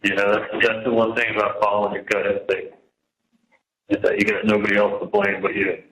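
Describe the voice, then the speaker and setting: An echoey jail phone line carries a man with a fairly deep voice. He talks casually and haltingly, circling back and repeating words as he vents about his situation. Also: monotone, a bit bright. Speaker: prisoner on a jail phone call. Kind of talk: casual, rambling personal phone conversation. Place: jail, on a telephone. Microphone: telephone handset. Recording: echoey jail telephone call.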